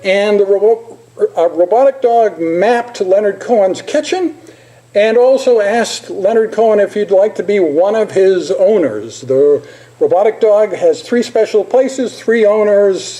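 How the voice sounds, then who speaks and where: A man giving an informal, anecdotal presentation as a physician, speaking in a halting, digressive storytelling way. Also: high-pitched, warm tone, low-quality recording.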